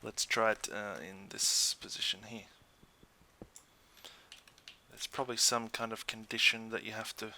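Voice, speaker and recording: An Australian man giving casual, chatty let's play commentary in an easygoing way, thinking out loud as he goes. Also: lowish voice, little pitch movement, measured pace, creaky, pauses often, slight background noise. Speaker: gaming YouTuber.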